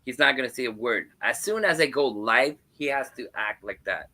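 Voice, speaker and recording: A man's voice: mid-range voice, animated, normal speed, bright, forceful tone. A man, chatting as a guest on a livestream, rambling with fillers and restarts. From Hamilton, Ontario, Canada. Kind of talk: casual chat on a livestream.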